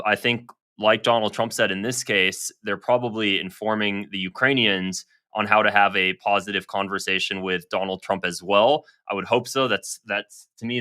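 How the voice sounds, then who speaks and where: Bright-voiced man giving casual political commentary. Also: on the low side. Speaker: online political commentator and host. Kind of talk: casual news commentary, reading quotes aloud to viewers.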